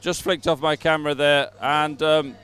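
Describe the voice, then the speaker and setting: A man's voice: fairly high pitch, flat delivery, moderate pace, fairly bright tone, clean tone. A man presenting a sports event with unscripted live commentary from outdoors at the waterside. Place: outdoors, waterside at a racing venue. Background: music playing at the venue.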